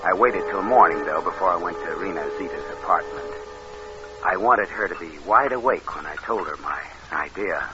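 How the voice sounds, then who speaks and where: An actor playing a cold-blooded, calculating con man, narrating and talking smoothly in 1940s radio thriller style. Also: sits low, moderate pace, over music, lightly acted. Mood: cold, matter-of-fact. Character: scheming cold-blooded male con man posing as nephew. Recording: noisy, dull old radio recording.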